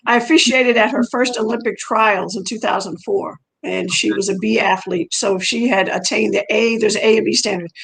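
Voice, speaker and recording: A woman's voice: mid-range voice, fairly even intonation, fairly quick, gravelly, hardly any pauses, fairly bright tone, a little hiss or hum, rough recording. A middle-aged woman whose first language is French, a track coach telling her story conversationally as an interview guest in a chatty, rambling way that repeats phrases for emphasis.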